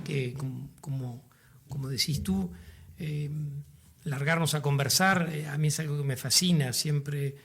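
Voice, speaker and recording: Uruguayan male guest, slightly high voice, measured and reflective. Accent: Uruguayan Spanish. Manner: reflective, measured, searching for words. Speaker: guest playwright. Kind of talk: interview conversation.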